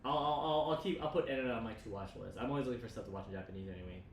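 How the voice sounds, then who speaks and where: Casting: male streamer, rapid and rambling casual talker, roomy sound with faint noise behind. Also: fairly low pitch, expressive, far from the mic. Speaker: livestream co-host. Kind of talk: casual livestream chat.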